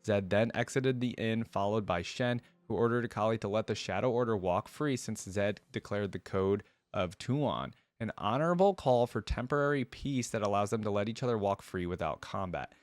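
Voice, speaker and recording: Man, lowish voice, dry sound, reaction host, reading aloud, casual commentary, curious, thinking aloud. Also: moderate pace, few pauses, no echo. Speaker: host of a lore reaction video.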